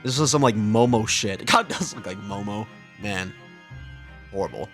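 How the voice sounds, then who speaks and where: A man's voice: on the low side, animated, moderate pace. A man doing casual reaction commentary in an informal, slangy way, reading captions aloud and breaking in with exclamations. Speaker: reaction video host. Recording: loud music under the voice. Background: loud music.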